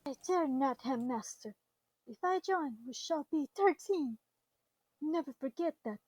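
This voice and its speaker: A woman's voice: sits high, lively intonation, unhurried, darkish tone, no echo, low-quality recording. A woman acting a nervous, superstitious divination teacher in an audio drama, theatrical and full of foreboding. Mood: fearful foreboding. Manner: theatrical, doom-laden.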